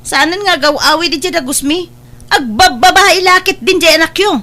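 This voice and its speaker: A woman's voice: extremely high pitch, moderate pace, pushed and bright. A woman with a Filipino accent playing a hot-tempered, confrontational young wife who scolds and taunts in a comedy drama.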